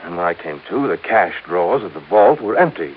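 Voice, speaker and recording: Hesitant, low-voiced young male assistant. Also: sing-song, a little fast, phone-quality sound. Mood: shaken, hesitant. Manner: hesitant, stammering, polite. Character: deferential, apologetic young male assistant and treasurer. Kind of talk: 1950s radio drama acting. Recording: hissy, band-limited old radio recording.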